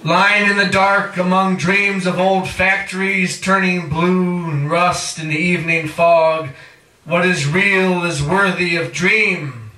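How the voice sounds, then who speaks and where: A man performs spoken-word poetry in a high, piercingly bright voice. He takes his time, stretching and chanting phrases dramatically. The recording is distant, made in an echoey room. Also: steady tone. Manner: dramatic chanting delivery with drawn-out phrases and cries. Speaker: poet reading own work. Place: indoor live reading at a cafe.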